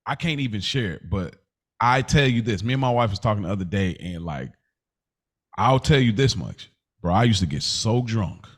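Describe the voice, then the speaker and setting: A man's voice: fairly low pitch, lively intonation, normal speed. An American man co-hosting a podcast, chatting casually in a slangy, reflective and candid way. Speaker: podcast co-host. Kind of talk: casual conversation.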